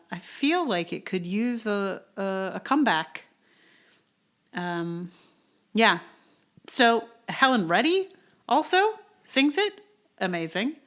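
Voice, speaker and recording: Woman, podcast host, casual chatty delivery, informal, hesitant, thinking aloud. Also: medium pitch.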